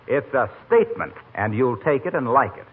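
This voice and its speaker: Husky American radio announcer man, tinny sound. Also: medium pitch, very animated, normal speed. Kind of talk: 1940s radio announcing, scripted. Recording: tinny old-time radio recording.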